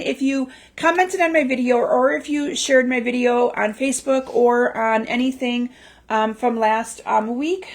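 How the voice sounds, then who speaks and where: A woman hosting a crafting livestream in chatty, casual talk full of ums, her voice slightly raspy from a lingering cough. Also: on the high side. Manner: chatty, lots of ums and restarts. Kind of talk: casual live-stream chat. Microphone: livestream mic.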